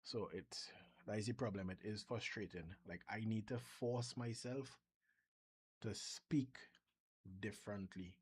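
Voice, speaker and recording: A Trinidadian man with a Trinidadian accent, talking casually through a software demo and thinking aloud, often restarting his sentences. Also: on the low side, unhurried, slight background noise. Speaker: software developer vlogger. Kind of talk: casual screen-share demo.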